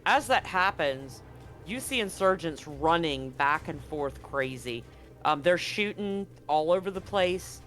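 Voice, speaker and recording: A man's voice: fairly high pitch, animated, measured pace, a bit bright, faint music behind. A man game master narrating a tabletop horror game in vivid, graphic detail with slangy asides.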